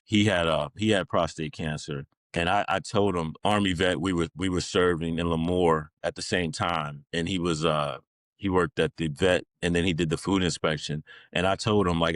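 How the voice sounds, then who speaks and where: A man's voice: deep voice, fairly bright tone, no echo, clean studio sound. A man, middle-aged, talking informally as an interview guest, rambling through stories in a casual conversational style. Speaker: podcast guest, Navy veteran.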